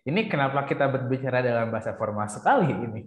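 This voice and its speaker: Joking young host. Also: male voice, medium pitch, expressive, fast talker, echoey room, lo-fi sound. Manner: joking, teasing, laughs often. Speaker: podcast host. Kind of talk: casual chat.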